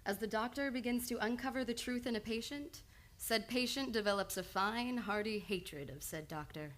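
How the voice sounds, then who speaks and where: A woman's voice: on the high side, normal speed, fairly bright tone, lightly acted. A woman playing a composed, earnest psychoanalyst in an old-time radio play, probing and insistent with a patient she cares for. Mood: wry, composed. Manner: insistent, probing, clinical yet affectionate. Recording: live radio play with background noise.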